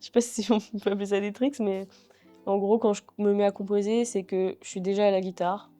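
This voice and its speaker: A young woman guest talking casually in an interview, relaxed and hesitant with many fillers as she thinks aloud. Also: mid-range voice, fairly quick, faint music behind. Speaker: singer-songwriter guest.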